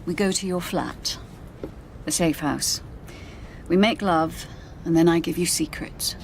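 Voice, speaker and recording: A woman's voice: on the low side, a little slow, vocal fry, a little hiss or hum. An actress playing a shrewd, secretive British intelligence officer in a radio drama, intimate and pointed in her delivery. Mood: conspiratorial, teasing.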